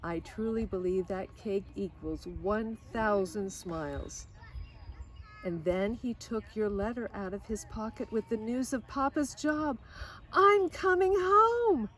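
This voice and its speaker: Older woman reading as an excited, earnest young girl. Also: mid-range voice, slow pace. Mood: thrilled. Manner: earnest, expressive letter reading. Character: excited, affectionate young girl writing home. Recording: outdoor recording with clear background noise.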